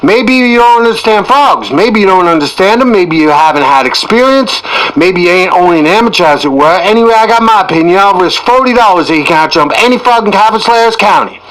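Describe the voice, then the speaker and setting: A cocky small-town gambler, acted out by a male reader, talks in an easy rural drawl. The voice sits high for a man, and he boasts carelessly like a storybook braggart. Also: expressive, fairly quick, a bit bright, poor audio. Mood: defensive, challenging. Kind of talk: storybook character voice in audiobook.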